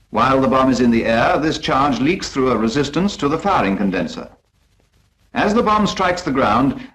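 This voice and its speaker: Lively-pitched British 1940s training film narrator, male. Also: poor audio. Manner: formal, precise, instructive.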